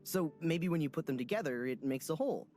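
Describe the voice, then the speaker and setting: An actor playing a gossipy, lovesick young soldier who rambles theatrically, over game music. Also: male voice, a little high, expressive, fast talker, a little mellow, close to an everyday voice. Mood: dramatic.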